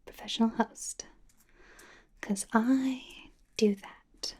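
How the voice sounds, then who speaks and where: A woman playing a bubbly, chatty young female K-pop idol in an ASMR roleplay, rambling casually and confidingly in a breathy whisper. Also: on the high side, fairly even intonation, drawn-out words, a little mellow, full character voice. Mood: friendly, confiding. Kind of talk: ASMR personal attention roleplay.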